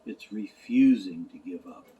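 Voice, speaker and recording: Man playing a slow, wise storybook horse. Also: fairly low pitch, warm tone, lightly acted. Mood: calm, reassuring. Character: large wise male horse, calm and reassuring.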